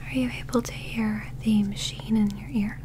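A young woman whispering gently and unhurriedly in an ASMR medical roleplay, playing an examiner close to the microphone. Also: on the high side, steady tone, normal speed, noisy background. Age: young adult. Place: small indoor set.

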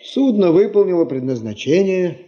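An actor playing a humble, devoted gold prospector in a 1970s Soviet radio drama, restrained and self-effacing. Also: male voice, high-pitched, expressive, normal speed, warm tone. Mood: humble, reserved. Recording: dull, hissy 1970s radio play recording.

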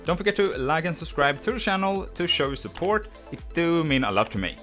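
A man with a Swedish accent, hosting a gaming channel and explaining in a voice-over how he levelled a character, relaxed and plainly worded. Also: fairly high pitch, a little fast. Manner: relaxed, explanatory, slightly non-native phrasing. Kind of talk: game guide voice-over. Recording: voice-over with faint music behind.

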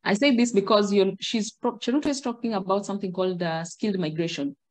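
A middle-aged Kenyan woman on a video call, explaining in a measured way and gesturing as she talks. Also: medium pitch. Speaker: guest, migration agent. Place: indoor room.

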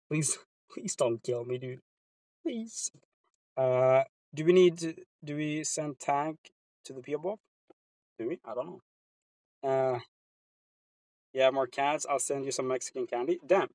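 A man's voice: fairly high pitch, a little mellow, no room sound, rough recording. A man from Sweden with a Swedish accent, chatting casually with viewers on a live stream from inside his car, laid-back and slangy.

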